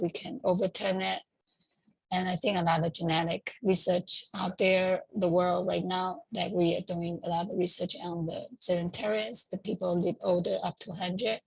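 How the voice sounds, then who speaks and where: A middle-aged woman, a Chinese speaker, hosts an informal educational talk, pausing often to search for words. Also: on the low side, gravelly, husky, dry recording, rough recording. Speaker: community talk host.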